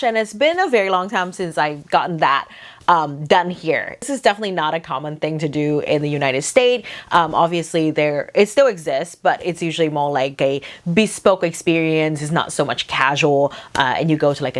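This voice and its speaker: A woman chats at length to camera as a vlog host. Her voice sits a little low for a woman, and her talk rambles, packed with "like" and "um" fillers. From Vietnam. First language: Vietnamese. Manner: rambling, talkative, many "like" fillers. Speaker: vlogger host. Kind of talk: casual chatty talk to camera.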